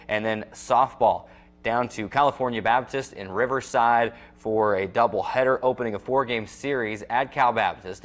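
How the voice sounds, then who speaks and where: Low-pitched young male sportscaster. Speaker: sports show host and sportscaster. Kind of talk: sports recap presenting, semi-scripted. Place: small indoor TV studio at a desk.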